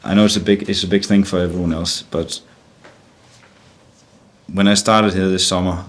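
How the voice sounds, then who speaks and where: A middle-aged Danish man with a low, deep voice speaks English with a Danish accent at a press conference. He talks haltingly and often repeats words before finding his phrasing. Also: fairly even intonation. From Denmark. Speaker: football manager.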